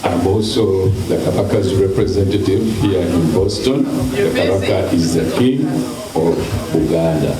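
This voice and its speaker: A man's voice: low voice, a little slow, over a noisy crowd. A man from Uganda with a Ugandan accent introduces himself as a community representative at a gathering. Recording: echoey hall, distant mic, music behind.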